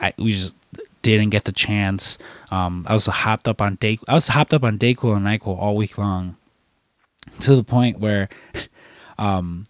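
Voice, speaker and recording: A man's voice: on the low side, no echo. A young man chatting casually as a podcast co-host. He is congested from a cold and rambles with lots of fillers and swearing. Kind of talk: casual chat.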